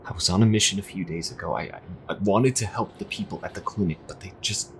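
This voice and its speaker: An actor playing an earnest, anxious young priest in an audio drama, halting and emotive. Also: male voice, deep voice, vocal fry, slight background noise, rough recording. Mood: frightened, pleading. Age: young adult.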